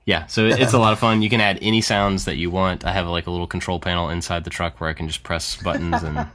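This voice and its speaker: A man's voice: low-pitched, fairly even intonation, fast talker, a bit bright. A man hosting a podcast in casual chat, enthusiastic and self-deprecating, laughing often as he tells stories. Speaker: podcast host.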